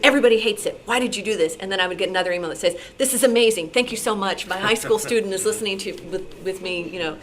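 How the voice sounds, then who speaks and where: A woman explaining things informally at a club meeting, in a halting, conversational way. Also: mid-range voice, fairly bright tone. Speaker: radio show programmer answering listener questions.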